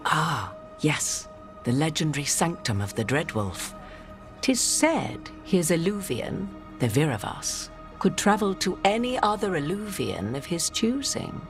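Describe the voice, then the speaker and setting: An actress playing an arch, enigmatic sorceress in a video game, with haughty, theatrical, archaic phrasing. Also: female voice, deep voice, sing-song, unhurried, over music, heavily acted. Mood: grave, advising.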